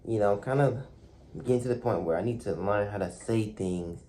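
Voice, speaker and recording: A young man, talking softly and hesitantly to the camera in a dark room, with many fillers and restarts. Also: on the low side, normal speed, slight background noise. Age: young adult. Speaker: vlogger. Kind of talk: reflective talk to camera.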